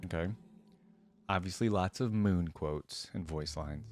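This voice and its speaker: A woman's voice: very deep voice, measured pace, background noise. A woman hosting a reaction video, talking in a casual, musing, conversational way. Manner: musing, conversational, often echoes lines back.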